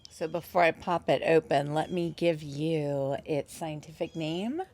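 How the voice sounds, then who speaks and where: A woman showing her garden on her vlog, gushing and excited. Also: deep voice, measured pace. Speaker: gardening channel host. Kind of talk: casual vlog narration.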